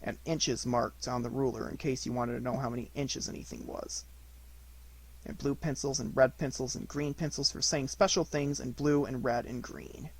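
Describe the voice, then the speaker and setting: A man reading a children's audiobook in an expressive narration style. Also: medium pitch, normal speed, slightly rough, poor audio. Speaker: audiobook reader.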